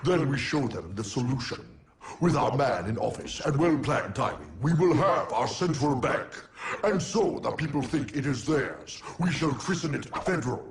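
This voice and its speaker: An actor playing the sinister, imperious supreme master of a secret cartoon cabal, menacing and deliberate. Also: male voice, mid-range voice, expressive, gravelly, noisy background. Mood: scheming, imperious.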